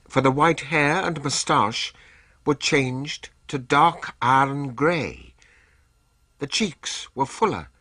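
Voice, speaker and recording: A man giving a dramatic reading of literary and press passages, slow and expressive. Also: mid-range voice, breathy edge, no echo, clean studio sound. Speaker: reader of quoted texts.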